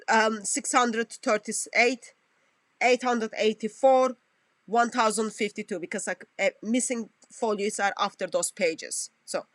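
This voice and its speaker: Halting woman commentator, dry close sound. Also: fairly high pitch, no room sound. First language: not English. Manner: halting, self-correcting, non-native phrasing. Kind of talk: reaction commentary on a played clip.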